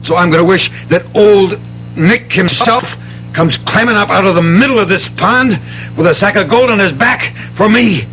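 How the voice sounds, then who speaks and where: A man's voice: sits high, normal speed, fairly bright tone, lo-fi sound. An actor playing a desperate, bitter gambling father in a 1970s radio drama, stammering and pleading with emphasis. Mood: bitter, agitated. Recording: noisy old radio broadcast with hum.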